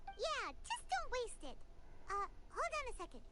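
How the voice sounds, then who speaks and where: A woman voicing a tiny, chirpy, eager female fairy companion in a video game, with an excitable, bossy manner. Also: normal speed, full character voice. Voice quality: squeaky, childlike. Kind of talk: game voice acting. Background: game music.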